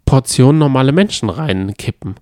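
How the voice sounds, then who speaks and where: A man's voice: medium pitch, lively intonation, moderate pace, a little mellow, no room sound. Man chatting casually, dry close sound. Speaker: podcast co-host. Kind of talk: casual chat about reality TV. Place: hotel room.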